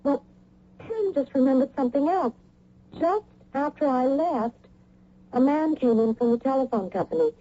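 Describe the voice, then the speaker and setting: A woman's voice: sits high, lively intonation, normal speed, warm tone. An actress playing an anxious, intuitive American wife and mother in a radio drama, worried and hesitant. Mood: anxious, frightened. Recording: dull old radio recording.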